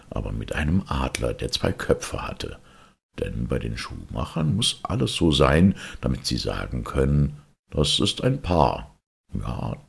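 A man reading a fairy tale as narrator, expressive, with a gravelly, husky voice. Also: a bass voice. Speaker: storyteller, narrator.

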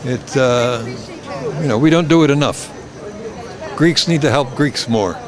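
A man's voice: mid-range voice, measured pace. A man in his forties, a film director, talking animatedly in an outdoor red carpet interview. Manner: animated, acts out dialogue. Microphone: handheld mic. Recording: crowd noise, voice a bit off mic.

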